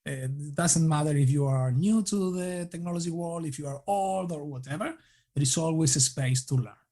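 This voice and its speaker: Argentine man, halting, higher-pitched voice. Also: warm tone. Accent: Argentine Spanish. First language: Spanish. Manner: halting, many restarts and fillers, laughs lightly. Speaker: guest. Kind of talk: casual interview answers.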